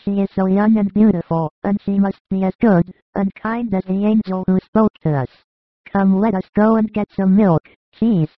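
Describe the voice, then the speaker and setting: A reader doing a gentle, kind shepherd. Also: mid-range voice, no room sound, close to an everyday voice. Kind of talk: dramatized story reading. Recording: tinny phone-like recording.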